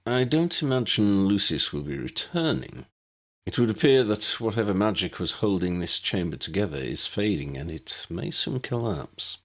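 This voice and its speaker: A man voicing a weary old wizard in an audiobook story, grave and courteous, with formal, measured phrasing. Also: sits low, big pitch swings. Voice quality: cracked voice.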